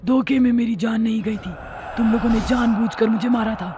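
A woman voicing a vengeful ghost witch in a horror cartoon, threatening and aggrieved, with a slow delivery. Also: on the high side, mellow, faint music behind, close to an everyday voice. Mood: stern. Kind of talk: Hindi horror cartoon dialogue.

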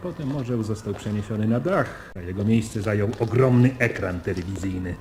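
An actor playing a dutiful German staff officer in a Polish radio drama, speaking in a measured and wry manner. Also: male voice, fairly low pitch, darkish tone, slight background noise, natural voice. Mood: reminiscing.

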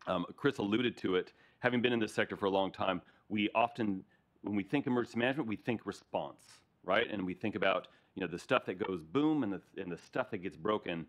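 Brief: middle-aged American man, low voice, relaxed presenter speaking to a council chamber, tags points with "right?". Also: a little fast. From Arizona, USA. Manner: conversational, frequent "right?" tag questions. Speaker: regional emergency recovery manager. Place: council chamber, large meeting room.

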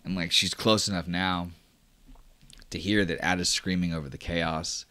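Deep-voiced man narrating a tabletop game. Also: fairly even intonation, moderate pace, bright, forceful tone, no echo. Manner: vivid descriptive narration with many fillers. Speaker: game master.

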